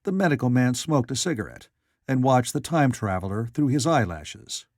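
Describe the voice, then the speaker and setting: A man's voice: medium pitch, lively intonation, normal speed, a little mellow, no room sound, studio quality. A man reading classic literary fiction aloud as a scripted audiobook narrator.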